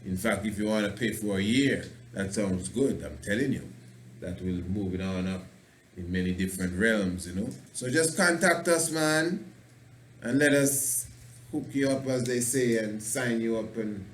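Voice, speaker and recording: A passionate man hosts a radio talk show as a preacher, rambling and playing with words. His voice sits a little low and carries a roomy echo. A faint noise runs under his speech. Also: animated, normal speed. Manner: passionate, rambling, fond of wordplay. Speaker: radio show host and priest.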